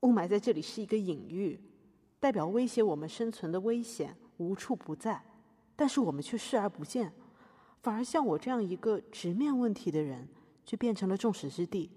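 A woman's voice: mid-range voice, animated, fairly quick, warm tone, slightly rough. A woman playing a pretentious, self-regarding conceptual artist who speaks in lofty jargon as a defendant in a satirical courtroom audio drama. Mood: lofty, wounded. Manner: pompous, hesitant fillers, lofty jargon. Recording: reverberant hall sound.

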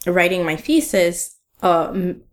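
A young woman with a fairly low voice speaks her mind in a relaxed podcast chat. She is direct and emphatic, and she laughs easily. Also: slow. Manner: outspoken and emphatic, laughs often. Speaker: podcast guest, museum scholar. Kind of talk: casual conversation.

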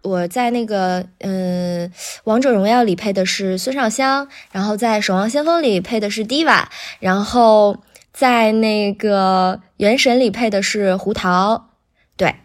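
A woman chatting casually as a guest, telling about her work as a voice actor with animated storytelling. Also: medium pitch, a little slow. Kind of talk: casual interview chat, recounting a career.

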